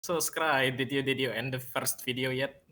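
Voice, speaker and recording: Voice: mid-range voice, fairly even intonation, moderate pace, sharp tone. A joking participant in a casual group voice chat who swears freely. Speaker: discussion participant.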